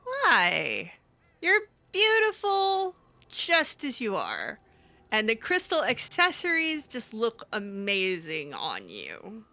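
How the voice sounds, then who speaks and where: Woman as female elf mage, bright lively voice, eager, flattering, informal, tentative, video game roleplay. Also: fairly high pitch, slow pace, no echo, full character voice. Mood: coaxing. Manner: hesitant, coaxing, giggly, informal. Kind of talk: improvised in-character game roleplay.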